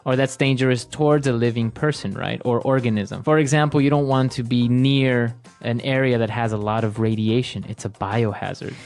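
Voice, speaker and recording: A man's voice: medium pitch, steady tone, moderate pace, hardly any pauses, darkish tone, soft background music. A man co-hosting an English-learning podcast, agreeing with his partner and adding examples in casual chat. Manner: agreeable, affirms and adds examples. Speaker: podcast co-host, English teacher.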